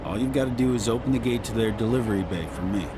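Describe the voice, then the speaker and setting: Man playing a low-voiced, streetwise accomplice in loud noise. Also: fast talker, lightly acted. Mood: confident briefing. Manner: casual, explanatory. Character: streetwise male accomplice, easygoing, loyal heist planner. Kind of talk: video game cutscene acting. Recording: loud outdoor vehicle noise.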